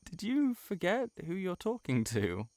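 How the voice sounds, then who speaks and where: A man's voice: sits high, wildly swooping pitch, darkish tone, dry recording, voice pushed up, full character voice. A man playing a lovelorn shapeshifting monster posing as a friend in an intimate audio roleplay, speaking in an earnest, hesitant, pleading way. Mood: defensive, hurt. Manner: hesitant, halting, emotionally pleading.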